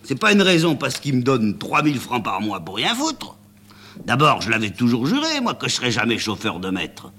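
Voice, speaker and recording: Man doing a cranky old taxi driver, low grumbly voice, jumps all over, slight hum. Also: big pitch swings, a little slow, fairly bright tone. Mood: grumbling. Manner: grumbling, sarcastic, colloquial. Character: grumpy, hot-tempered male taxi driver.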